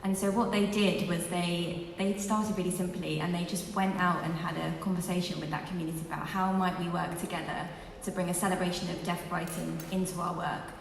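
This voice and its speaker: A woman co-presenting at a launch event, speaking to an audience in a measured way with fillers. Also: lowish voice, fairly even intonation, vocal fry, a little hiss or hum. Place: large museum hall. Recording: echoey hall from far off.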